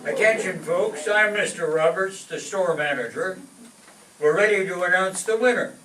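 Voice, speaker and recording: A man's voice: high-pitched, moderate pace, a crowd in the background, lightly acted. A man playing a formal, firm store manager in an old-time radio comedy, speaking in an announcing manner. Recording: echoey, distant mic, noisy room.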